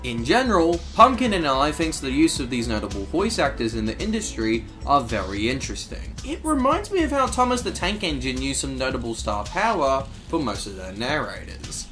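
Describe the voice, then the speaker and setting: A man's voice: mid-range voice, very animated, normal speed, few pauses, fairly bright tone, faint music behind. A man hosting a video essay, narrating from a script.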